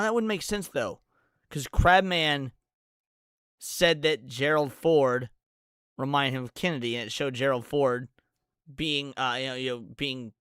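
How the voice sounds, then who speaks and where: A man giving casual, crude reaction commentary as a streamer, thinking out loud, swearing freely and reading text aloud. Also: mid-range voice, clean tone, no echo, clean studio sound. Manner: crude, profane, opinionated.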